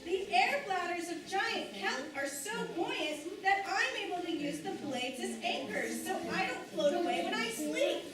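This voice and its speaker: Chirpy, very high little sea otter played by a woman. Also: expressive, measured pace, few pauses. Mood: eager, pleased. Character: chirpy young male sea otter, eager interrupter. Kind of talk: children's puppet show. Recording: echoey hall, distant, loud crowd.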